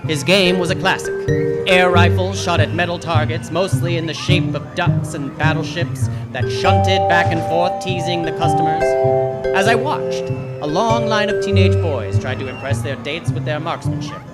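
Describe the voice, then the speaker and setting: An actor playing a hard-boiled, wisecracking detective who narrates and talks with dry wit in a live old-time radio noir. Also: male voice, on the high side, normal speed, over loud music, natural voice. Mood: wry narration. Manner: dry, deadpan, wry.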